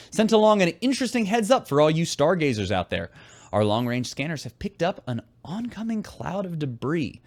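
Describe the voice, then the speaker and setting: A man's voice: medium pitch, dry recording. An actor playing a wry, showy male radio host aboard a spaceship in a sci-fi audio drama. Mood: breezy, wry.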